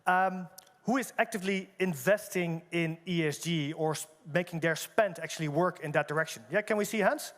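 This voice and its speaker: A man co-hosting a conversation on a conference stage, animated, tossing questions to the audience and often tagging on "right?". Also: fairly high pitch, normal speed. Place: large conference hall stage. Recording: long reverb of a large hall.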